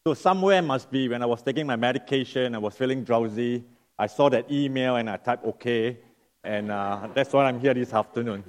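A middle-aged man from Singapore, preaching as a guest. He sounds nasal from a lingering cough and speaks in a friendly, unhurried way, often stopping to rephrase. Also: mid-range voice. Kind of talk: sermon.

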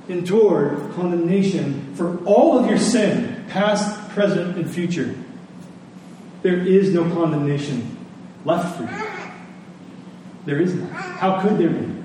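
A man's voice: high-pitched, dark tone. A man preaching a church sermon as a pastor, emphatic and repeating phrases for weight, with conversational asides and rhetorical questions. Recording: echoey room, distant mic, faint noise.

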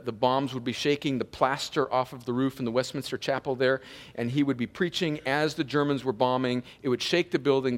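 A male pastor preaching a sermon, chatty at times and then emphatic, sniffling with a slight cold. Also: mid-range voice. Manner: conversational preaching that rises to emphatic peaks. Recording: long hall reverb.

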